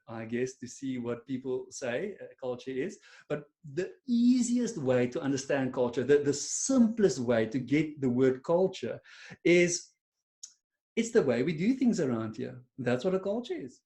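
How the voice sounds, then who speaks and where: A man's voice: mid-range voice, warm tone. A man with an Afrikaans South African accent presenting a webinar on a video call, chatty and self-deprecating, with many fillers and restarts. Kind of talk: online webinar talk to a silent audience.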